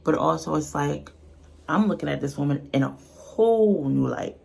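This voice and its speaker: A woman's voice: deep voice, expressive, normal speed, gravelly, darkish tone, slight background noise. A woman giving casual, unscripted reaction commentary in a blunt, colloquial, earnest way. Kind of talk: casual, unscripted opinion talk.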